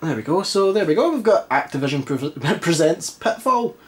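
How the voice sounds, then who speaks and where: A man's voice: fairly high pitch, big pitch swings, normal speed. A Scottish man with a stuffy, congested voice, chatting casually and mocking himself while testing an old console in a dim living room. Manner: chatty, self-mocking, rambling. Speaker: retro game vlogger. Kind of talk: casual chat.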